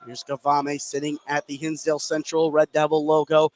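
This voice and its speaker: A man's voice: a little high, monotone, moderate pace, darkish tone. A man doing live radio play-by-play of a basketball game, following the action continuously and lifting his voice on big plays. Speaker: play-by-play sports commentator. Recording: noisy live gym broadcast.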